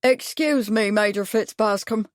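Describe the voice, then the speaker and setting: A woman's voice: medium pitch, a little slow, dry recording, clean studio sound, voice pushed up, natural voice. A woman doing a timid, polite barman. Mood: tentative. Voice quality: tentative, deferential.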